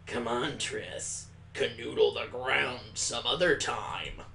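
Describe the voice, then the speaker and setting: A man's voice: mid-range voice, slow, creaky, pushed and bright, slight background noise, voice pushed down, full character voice. A man voicing a displeased, impatient eleven-year-old girl from a visual novel, grumbling and complaining. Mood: irritated. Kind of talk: visual novel character reading.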